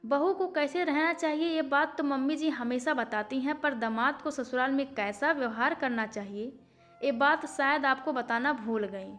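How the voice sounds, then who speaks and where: Female narrator as young daughter-in-law, high-pitched, respectful, firm, long-suffering, audiobook drama, faint music. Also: moderate pace, fairly bright tone. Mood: polite, firm. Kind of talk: audiobook story character.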